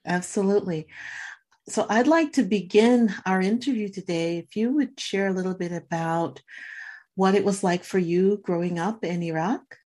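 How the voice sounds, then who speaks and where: A woman hosting a podcast, reading a scripted introduction and asking interview questions in a clear, deliberate way. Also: medium pitch, warm tone. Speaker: podcast host.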